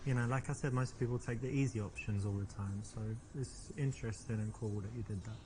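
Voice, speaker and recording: A man from England with a softened London accent, flirting cockily in a dating game and stepping out to give earnest advice. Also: lowish voice, steady tone, fairly quick, background noise. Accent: London English, softened. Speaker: actor in a dating game, also giving advice. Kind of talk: acted flirting scenes and coaching asides.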